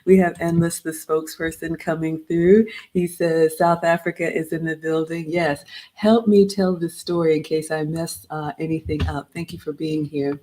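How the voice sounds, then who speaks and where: A woman's voice: sits low, level tone, normal speed, warm tone. A middle-aged woman from Ghana, chatting casually with viewers in a livestream as she looks back on a trip and greets people by name. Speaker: vlog host. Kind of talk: casual livestream travel recap.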